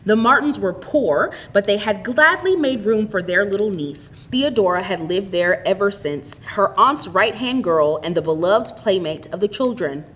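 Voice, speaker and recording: A woman's voice: medium pitch, animated, moderate pace, few pauses, a bit bright. Woman reading a story aloud. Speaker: story reader. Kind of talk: expressive scripted storytelling.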